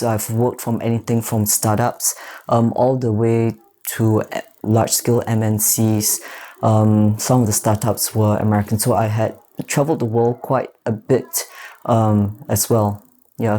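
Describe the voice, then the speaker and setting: A man in his thirties with a Singaporean accent, a guest answering interview questions in a measured way, pausing often with soft fillers. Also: medium pitch. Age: 30s. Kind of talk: reflective personal interview.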